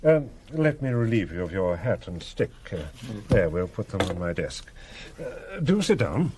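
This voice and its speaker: An actor playing a courteous, authoritative senior police commissioner in a radio drama, with a British accent and a measured, politely probing manner. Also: male voice, on the low side, sing-song, background noise.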